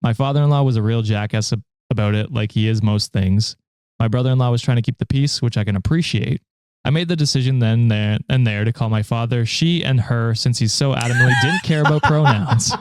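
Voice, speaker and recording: A young man hosting a podcast, reading a story aloud and chatting about it in a relaxed, dry way. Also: mid-range voice, brisk. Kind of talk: story reading with casual chat.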